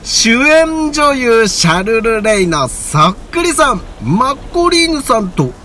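A man's voice: extremely high pitch, big pitch swings, measured pace, pushed and bright, recorded from a distance, a little hiss or hum, heavily acted. A man playing a showy bus tour guide announcing to a group in a radio drama.

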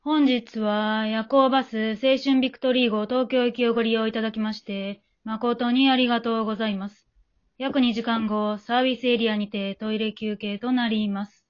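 A woman playing a polite night-bus driver, making formal, measured announcements in a radio drama. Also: a little high, steady tone, smooth, natural voice. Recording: dull lo-fi remote home recording.

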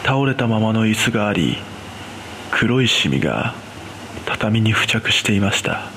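Male horror narrator acting out an uneasy cleaner recounting something eerie; lowish pitch, expressive swings, noisy recording. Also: measured pace. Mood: grave, uneasy. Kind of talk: scripted first-person ghost story narration.

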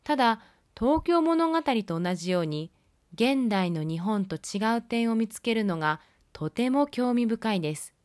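A woman hosting a film review podcast, reading her scripted commentary in a measured, polite, explanatory way. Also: a little high, lively intonation, darkish tone, no echo, studio quality.

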